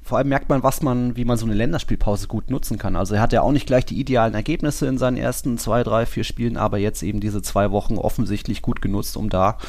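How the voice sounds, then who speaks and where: A man with a low voice and plenty of vocal fry hosts a conversational football podcast. He talks in long, rambling stretches, often breaking off and restarting his sentences. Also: fairly even intonation, fast talker, few pauses, a little mellow. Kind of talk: conversational sports discussion.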